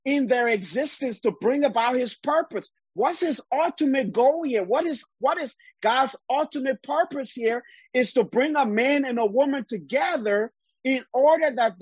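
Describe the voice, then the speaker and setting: A man's voice: very high-pitched, slightly rough, dry recording, dull audio. A man from Liberia preaching a sermon, emphatic and often repeating words while he gathers his thoughts.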